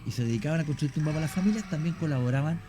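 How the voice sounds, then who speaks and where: Chilean male guest, mid-pitched mellow voice, lecturing at length, faint music behind. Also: rapid-fire. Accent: Chilean Spanish. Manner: long explanations, frequent fillers and restarts. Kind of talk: explanatory radio talk.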